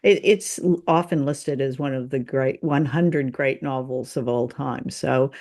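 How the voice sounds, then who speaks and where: A woman's voice: a bass voice, unhurried, darkish tone. A woman co-hosting a review show in casual chat, informal and wry with a teasing streak. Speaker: co-host of a radio drama review show.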